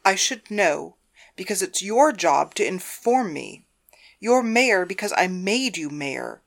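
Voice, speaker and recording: An audiobook wife acted by a woman who rules her husband with a firm hand. Her voice sits a little low, and she speaks sternly, issuing demands as someone used to control. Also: very animated, takes it slowly, vocal fry, exaggerated voice. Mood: commanding. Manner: commanding, stern. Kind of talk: audiobook character dialogue.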